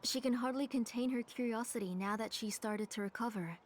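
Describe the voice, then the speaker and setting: A woman as a gentle, higher-voiced game mother. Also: brisk, slight background noise, lightly acted. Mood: caring. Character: caring, gentle mother of a frail young girl. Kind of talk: video game voice acting.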